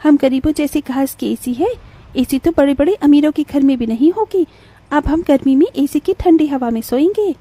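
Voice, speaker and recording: A woman's voice: sits high, normal speed, warm tone, close to an everyday voice. A woman playing an eager, encouraging little sister in a cartoon moral story. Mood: delighted. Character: bright, encouraging little sister of poor family.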